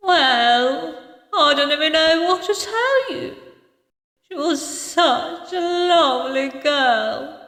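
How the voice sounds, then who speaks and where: Woman doing a sad old lady for a game, really high voice, slow n halting, big echoey hall. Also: lively intonation, pushed and bright, exaggerated voice. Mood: wistful sorrow. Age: older. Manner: slow, halting, sorrowful delivery. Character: grieving old woman, tender, wistful, doting on lost girl. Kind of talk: visual novel character voice acting. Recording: echoey hall reverb, distant sound.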